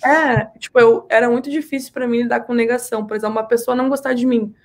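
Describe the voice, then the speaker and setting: A woman's voice: on the high side, steady tone, fast. A woman with a southern Brazilian accent, chatting casually as a podcast guest and telling personal stories in an emphatic way, repeating words for emphasis. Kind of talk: casual, animated personal storytelling in conversation.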